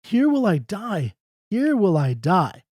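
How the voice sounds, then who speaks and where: A reader voicing a distraught older mother, pleading and wailing in high pitch. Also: big pitch swings, takes it slowly, dark tone, no room sound, clean studio sound.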